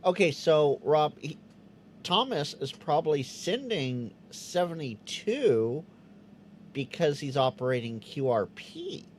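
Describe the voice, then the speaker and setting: A man hosting a live stream, chatting loosely with his viewers and sounding out Morse code as he goes. His pitch jumps up and down, and he restarts his words often. A faint hum of room ambience sits behind him. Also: very animated, slow. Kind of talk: casual live-stream chat reading viewer comments.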